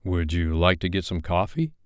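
A man voicing a calm, patient young man who speaks in a soft, coaxing way. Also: low voice, normal speed, clean tone, no room sound, studio quality.

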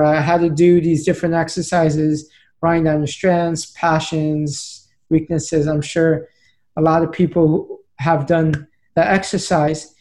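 A man's voice: a little high, darkish tone. A man in his late twenties, a podcast guest telling his story in an earnest, plain way. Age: late 20s. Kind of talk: conversational storytelling.

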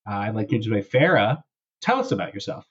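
Husky man hosting, dull muffled audio. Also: fairly low pitch, very animated, fast talker. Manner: jokey, playful exaggeration. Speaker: podcast host. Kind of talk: casual comedic podcast banter. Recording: dull, band-limited audio.

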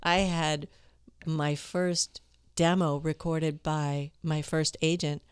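A woman with a low, smooth, resonant voice that carries quiet authority, telling stories as a guest in an interview. She lively acts out the quotes in her anecdotes, and her voice sounds dry and close to the mic. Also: slow pace, dry recording. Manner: animated, tells stories with quoted dialogue. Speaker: voice actor guest. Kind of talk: conversational interview storytelling.

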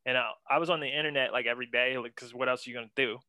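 Bright, crisp-voiced laid-back young man. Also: mid-range voice, steady tone, very fast, no room sound. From western Pennsylvania. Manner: laid-back, slangy, enthusiastic, says man a lot. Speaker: guest, senior art director. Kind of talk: casual interview chat.

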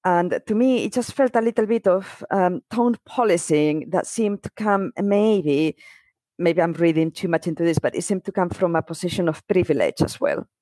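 A woman's voice: on the low side, normal speed, dark tone, dry recording. A middle-aged woman from Spain, a native Spanish speaker, chatting casually and telling stories by acting out what people said. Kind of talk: casual chat.

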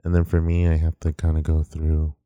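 A man with a very deep baritone voice, chatting casually as a podcast co-host in a relaxed, rambling way with lots of fillers. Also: a little fast, no room sound, highs cut off.

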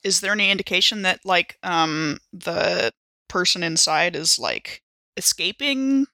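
A woman speaks as a player in a tabletop game, improvising what her character does. Her voice is bright and forward with a creaky edge, and the sound is close and dry. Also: fairly low pitch, expressive, unhurried, no room sound. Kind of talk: improvised actual-play talk.

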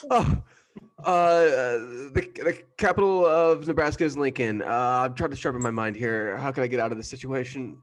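A man's voice: medium pitch, moderate pace, close to an everyday voice. A man voicing a desperate, self-pitying man on fire in an improvised comedy sketch, stammering and wailing. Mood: panicked.

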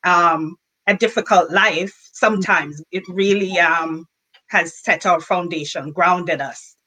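A woman's voice: lowish voice, takes it slowly, pushed and bright, poor audio. A woman from rural Jamaica with a Jamaican accent, chatting casually and cheerfully as an interview guest. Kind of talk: casual chat.

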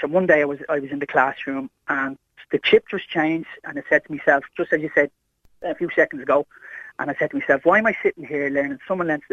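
A man's voice: a little high, no echo. A middle-aged Irish man calling a radio show by phone, telling personal stories and opinions emphatically, repeating phrases insistently. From Ireland. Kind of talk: impassioned personal anecdote and opinion. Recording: dull, lo-fi phone line to radio.

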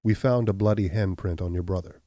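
A man's voice: sits low, no echo, clean studio sound, close to an everyday voice. A man playing a stern, unyielding accuser. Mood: questioning. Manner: calm, measured, unyielding. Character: stern implacable man, deep level voice. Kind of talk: audiobook reading.

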